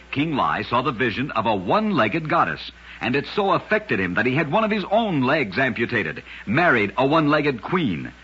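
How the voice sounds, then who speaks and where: A man narrating scripted oddities as an old-time radio host, with a dramatic, emphatic delivery and deliberate pauses. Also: mid-range voice, bright, forceful tone, breathy edge. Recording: dull, lo-fi old radio recording.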